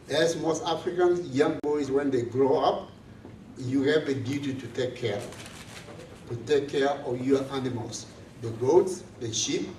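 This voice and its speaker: A priest from South Sudan, giving a measured, earnest talk to a student gathering. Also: male voice, a little high, a little hiss or hum. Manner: slow, measured, earnest speaking. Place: large room on a college campus. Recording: echoey room from far off.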